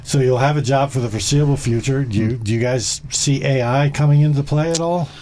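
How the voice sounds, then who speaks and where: A man's voice: medium pitch, brisk, mellow, slight background noise. Jokey man radio host. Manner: jokey, upbeat questions. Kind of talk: casual interview chat.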